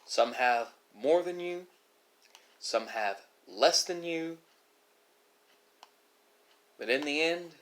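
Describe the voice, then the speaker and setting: A man speaking off the cuff to his viewers in a philosophical monologue, halting often and correcting himself as he goes. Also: on the high side, expressive, a bit bright. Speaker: ASMR video creator. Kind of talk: unscripted musing monologue to viewers during a mukbang.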